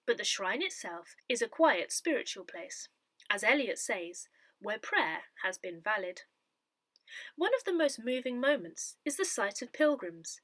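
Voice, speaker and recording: A woman narrates from a script in measured, clearly separated phrases. Her voice is bright and forward, with very lively rises and falls in pitch. The recording is close and dry, with little room sound. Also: dry recording. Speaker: reader of an audio newsletter. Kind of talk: scripted narration, reading a parish newsletter aloud.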